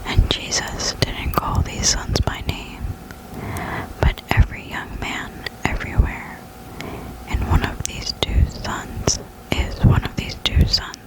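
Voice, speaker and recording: Casting: soft ASMR reader, breathy whisper throughout, unhurried and soothing delivery, close on the mic. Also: rough recording. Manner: slow, gentle, soothing. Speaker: host of an ASMR reading channel. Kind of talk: whispered ASMR, poetry reading. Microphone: close desktop condenser microphone.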